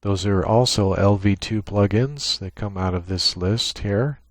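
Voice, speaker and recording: A man giving an unscripted software tutorial, explaining each step in a matter-of-fact, technical way. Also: on the low side, a little slow, no echo. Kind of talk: unscripted screen-share walkthrough. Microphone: USB microphone with gate and compressor.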